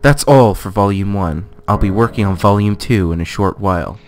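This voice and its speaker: A man giving a theatrical, deliberate dramatic reading of a fantasy guidebook. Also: lowish voice. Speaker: let's play gamer. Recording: guitar music behind voice. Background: guitar music.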